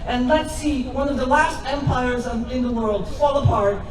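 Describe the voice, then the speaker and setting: A woman's voice: high-pitched, moderate pace. A woman born in Poland giving an impassioned, sarcastic rally speech outdoors. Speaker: rally speaker. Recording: roomy, distant, loud crowd.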